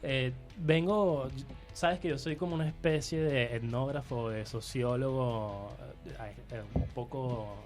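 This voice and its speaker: A man's voice: medium pitch, measured pace, darkish tone, soft background music. A man with a Venezuelan accent chatting casually as a guest contributor, often hesitating and repeating words as he goes. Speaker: guest contributor on identity and multiculturalism. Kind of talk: casual chat.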